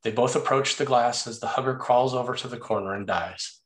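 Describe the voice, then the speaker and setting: A man's voice: medium pitch, fairly quick. A man reading stage directions as the narrator of a screenplay table read.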